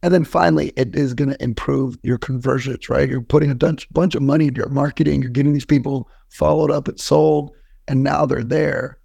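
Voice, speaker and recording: A man with a hoarse, scratchy voice, co-hosting a podcast and giving upbeat, coach-like motivational talk. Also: mid-range voice, steady tone, brisk, dark tone, no echo. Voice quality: scratchy, gravelly. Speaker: podcast co-host.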